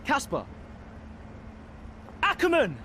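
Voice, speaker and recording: A man's voice: very high-pitched, huge pitch swings, slow, pushed and bright, a little hiss or hum, full character voice. A young man playing an earnest, defensive rookie racing driver in a game cutscene. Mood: indignant. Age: young adult.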